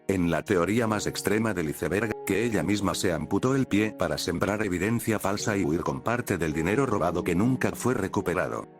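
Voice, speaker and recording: A man reading scripted documentary-style narration for an explainer video in a measured, formal, expository manner. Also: sits low. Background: background music.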